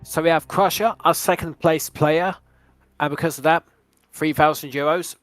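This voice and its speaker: A man hosting a quiz show with measured, formal delivery that sometimes restarts its phrases. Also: on the high side, fairly even intonation, fairly bright tone, soft background music.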